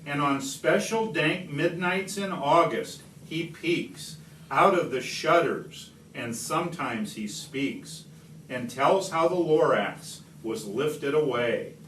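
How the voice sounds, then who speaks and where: A man reading a rhyming picture book aloud to children, expressively, with deliberate, dramatic pauses. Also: fairly high pitch, animated, fairly bright tone. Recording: roomy, distant home video with slight noise.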